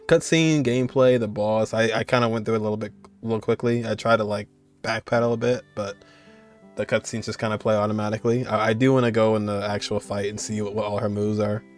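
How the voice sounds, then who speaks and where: A man's voice: on the low side, fairly even intonation, fast talker, faint music behind. Casual slangy man reacting to a game. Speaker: gaming streamer.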